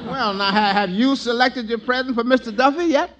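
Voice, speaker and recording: Through the noise of an old radio comedy comes an easygoing tavern waiter. His voice sits high, and he feeds questions in a dry, hesitant, teasing way. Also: a little fast. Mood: curious. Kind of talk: 1940s radio comedy acting. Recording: noisy, hissy old radio recording.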